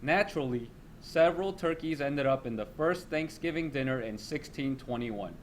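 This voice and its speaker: A man's voice: mid-range voice, lively intonation, normal speed. Male teacher reading aloud, background noise. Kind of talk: read-aloud of a children's nonfiction text.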